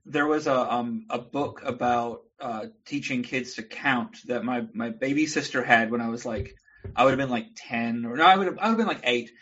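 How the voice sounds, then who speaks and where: Husky guy from new zealand, mostly american-sounding now, wry rambling stories, kinda lo-fi call audio. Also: mid-range voice, normal speed, a bit bright. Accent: mostly American, little of his New Zealand accent left. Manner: rambling, wry storyteller with comic asides. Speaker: guest creator. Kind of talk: casual video call chat.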